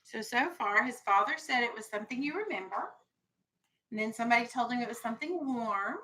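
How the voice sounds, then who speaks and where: A woman teacher reading a storybook aloud to young children, animated, stopping to explain and ask questions. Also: fairly high pitch, brisk, poor audio. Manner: animated, explains and checks understanding. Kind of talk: expressive children's read-aloud with questions to the class.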